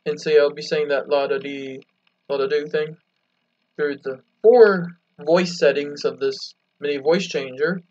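A man hosting an amateur video, addressing viewers in halting, wordy phrases. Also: a little high, fairly even intonation, darkish tone. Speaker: video host. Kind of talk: amateur vlog talk to viewers. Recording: dull lo-fi home recording.

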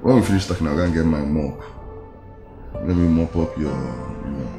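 A cocky Nigerian lover in screen drama, low-voiced and boastful, always fishing for agreement. The room is echoey, with music clearly behind him. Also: male voice, normal speed. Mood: smug. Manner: boastful, keeps seeking agreement. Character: cocky, boastful philandering lover, self-satisfied and smooth-talking. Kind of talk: screen drama acting, intimate chat.

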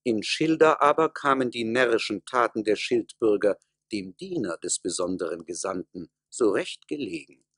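A man's voice: fairly low pitch, lively intonation, moderate pace, few pauses, a bit bright, husky, no echo, studio quality. A man narrating a satirical folk tale as the storyteller in a scripted radio play.

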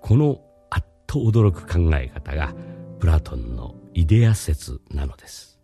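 An actor playing a patient, mysterious older philosophy teacher, explaining in a measured radio drama style. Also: male voice, very deep voice, big pitch swings, dark tone, heavily acted. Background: music.

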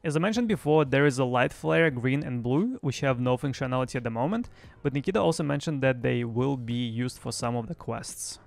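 Young adult male gaming news presenter, creaky edge, mid pitch, home streaming setup. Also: lively intonation, a little fast. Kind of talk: semi-scripted news recap. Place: small home streaming room. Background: faint music.

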